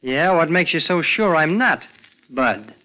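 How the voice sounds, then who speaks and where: A man's voice: fairly high pitch, sing-song, a little slow, bright, forceful tone, full character voice, low-quality recording. A young man playing a nervous, evasive teenage boy who narrates his story in 1940s radio drama style, earnest and boyish with a hesitant delivery. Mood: guarded. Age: young adult. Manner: boyish, earnest, hesitant stammering under pressure. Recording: vintage 1940s radio recording with music.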